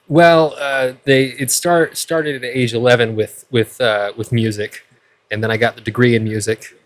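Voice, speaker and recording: A man with a calming voice in casual chat, hesitant and repeating his words as he goes. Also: mid-range voice, normal speed, slight background noise. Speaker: co-host following along with the recipe.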